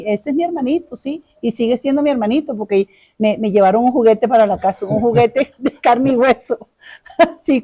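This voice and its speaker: Give this voice to a middle-aged woman from Caracas with that city's Venezuelan accent. Her tone is soft-edged and mellow, at an ordinary female pitch. She talks as a courteous, chatty guest recounting her life, often circling back to repeat a phrase. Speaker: interview guest. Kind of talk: informal life-story telling.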